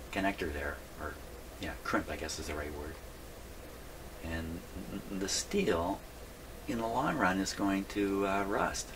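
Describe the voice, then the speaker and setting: A man thinking aloud in a rambling, folksy way as he casually narrates a hands-on repair vlog from his workshop. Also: deep voice. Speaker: hobbyist host repairing vintage radios. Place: indoor radio workshop. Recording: clear background noise.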